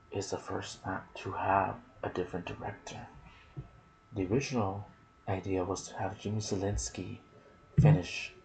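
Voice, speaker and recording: A man hosts an ASMR gaming video in a low voice with heavy fry. He speaks softly and musingly, sometimes half whispering. A faint ambient noise sits behind him. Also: rough recording. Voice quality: soft, breathy, partly whispered. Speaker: gaming video creator and host. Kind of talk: ASMR gaming commentary mixed with casual chat.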